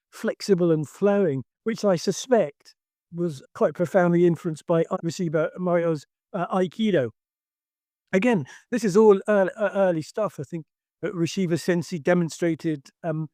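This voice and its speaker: Older guy, fairly high voice, mellow tone, emphatic, lots of restarts, dry sound. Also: no room sound. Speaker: karate teacher. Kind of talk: discussion.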